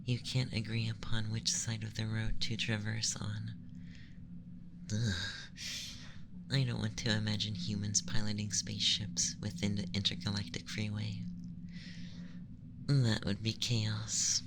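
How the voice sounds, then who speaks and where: A man playing a haughty, clinical femboy alien in an ASMR roleplay, speaking softly and often in a breathy whisper with formal, condescending, measured delivery. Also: on the low side, monotone, noisy background. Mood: disdainful.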